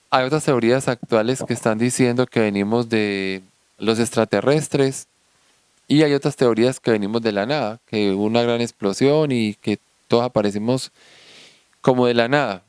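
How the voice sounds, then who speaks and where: Priest preaching, smooth voice. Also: male voice, mid-range voice, darkish tone, no room sound. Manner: preaching, quoting scripture with rising emphasis. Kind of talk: sermon.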